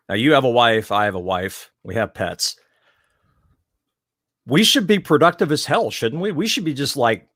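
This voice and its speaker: A man with a Southern US accent co-hosting a podcast, talking in an animated, teasing way, swearing freely and laughing loudly. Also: medium pitch, dry recording, studio quality. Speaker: podcast co-host. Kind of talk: casual chat.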